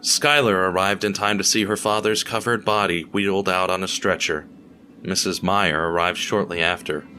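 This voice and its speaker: A man narrating a scripted family drama in a measured storytelling manner. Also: low-pitched, steady tone, pushed and bright.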